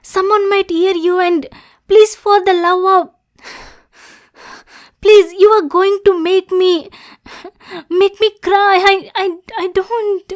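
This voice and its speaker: A woman's voice: very high voice, steady tone, takes it slowly, long pauses. A woman playing a lonely, anxious, lovesick demon girl in an ASMR roleplay, stammering and pleading with coaxing endearments. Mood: panicked, tearful.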